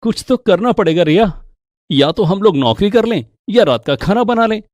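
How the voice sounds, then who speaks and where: A man's voice: sits high, animated, normal speed, darkish tone, no room sound, studio quality. A man playing a responsible, earnest adult son in a cartoon story, explaining things emphatically. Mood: earnest, firm.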